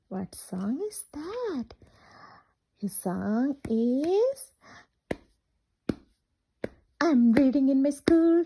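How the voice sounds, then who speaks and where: Lady doing kids story time, really high voice, super slow, singsongy and mellow. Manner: animated, singsong, asks questions and pauses for children. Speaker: children's storyteller. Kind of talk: interactive picture-book read-aloud with singsong chants.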